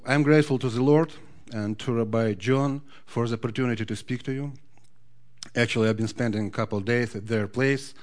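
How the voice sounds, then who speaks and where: A man from Odessa with a Ukrainian accent, speaking as guest speaker to a congregation in a friendly way, often asking the audience questions. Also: mid-range voice. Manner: friendly, asks the audience questions, sings a short Hebrew song. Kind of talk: sermon talk to a congregation.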